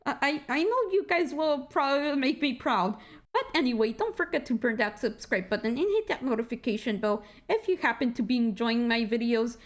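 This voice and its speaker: Squeaky high-voiced woman rambling through a tour. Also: lively intonation, brisk. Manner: rambling, self-correcting, joking asides. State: tired. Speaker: gaming channel host. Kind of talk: casual game walkthrough commentary. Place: indoor room at a computer.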